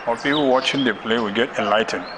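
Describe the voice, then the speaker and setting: A man's voice: medium pitch, quick pace. A man with a Nigerian accent giving informal remarks, repeating phrases for emphasis. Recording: noisy location with birdsong.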